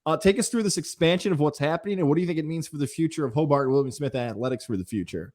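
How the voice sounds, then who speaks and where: A middle-aged man with an American accent hosts a conversational interview. His voice is on the higher side for a man, and his delivery is chatty and effusive, in the manner of a sports broadcaster. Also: rapid-fire, darkish tone. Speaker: podcast host, sports broadcaster.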